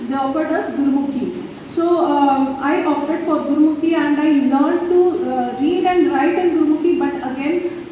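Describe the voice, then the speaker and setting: A woman from India with an Indian English accent, whose first language is Hindi, giving an in-house teacher training talk with many halting fillers and restarts. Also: high-pitched, a little hiss or hum. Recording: echoey hall from far off, dull.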